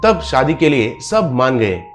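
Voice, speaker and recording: A man's voice: mid-range voice, sing-song, unhurried, soft background music. A man narrating a scripted comic moral story.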